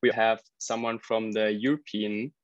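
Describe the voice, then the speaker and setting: A man with a fairly low voice hosts a podcast conversation. He interviews guests in a conversational way. The recording is dry and a little muffled. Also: little pitch movement, measured pace, no echo. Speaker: podcast host.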